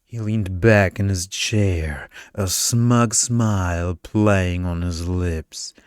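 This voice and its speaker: Male ASMR narrator, deep register, unhurried story reading, dry close mic. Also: smooth, no echo.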